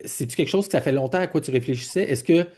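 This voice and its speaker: A man's voice: fairly high pitch, fast, dark tone, slightly rough. A man in his forties from Quebec, with a Quebec French accent, asking casual, rambling interview questions as a podcast host and often rewording himself as he goes. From Quebec, Canada. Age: 40s. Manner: informal, rambling, self-correcting questions.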